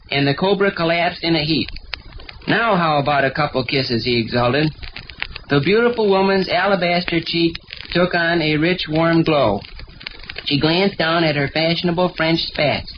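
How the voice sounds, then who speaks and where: A man's voice: a little high, lightly acted. A male actor playing an earnest, guileless young American son who loves adventure stories, in the style of 1940s radio comedy. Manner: solemnly reading pulp fiction aloud, guileless. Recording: muffled old radio recording, faint noise.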